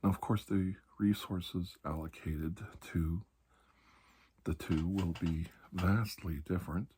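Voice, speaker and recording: A man playing a calm, reassuring doctor in a soft-spoken ASMR roleplay, speaking slowly and deliberately in a breathy, husky voice that drifts into whispers. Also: deep voice, heavily acted. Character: calm, reassuring male doctor treating alien skin disease.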